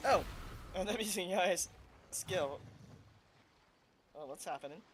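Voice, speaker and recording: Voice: mid-range voice, slow, noisy background. A gamer musing casually to themself while playing, in chatty commentary.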